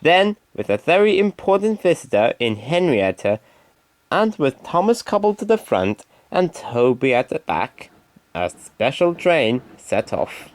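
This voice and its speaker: A man's voice: on the high side, very animated, unhurried, no room sound. A man reading a scripted children's story as narrator.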